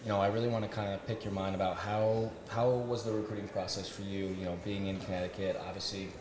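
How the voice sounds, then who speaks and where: A man co-hosting an interview, asking questions in quick, chatty bursts with lots of "yeah" and "you know". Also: lowish voice, level tone, echoey room, a crowd in the background. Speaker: co-host. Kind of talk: casual interview chat.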